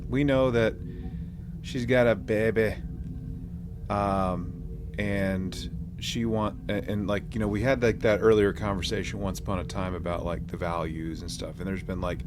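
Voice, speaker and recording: A man co-hosting a podcast in casual chat, halting as he pieces his thoughts together with many pauses. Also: sits low, noisy background. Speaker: podcast co-host.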